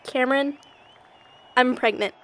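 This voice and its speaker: A woman's voice: high voice, a little slow, fairly bright tone, some chatter behind, low-quality recording. An actress playing a troubled, earnest young college woman in a naturalistic film drama, with a pleading manner. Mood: hesitant, gentle. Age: young adult.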